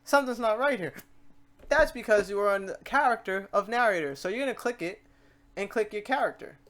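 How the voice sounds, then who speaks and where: A woman with a mid-pitched voice presents a software tutorial. She keeps it informal and playful. She talks through each step out loud, as if chatting casually with the viewer. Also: lively intonation, a little fast. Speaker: tutorial host.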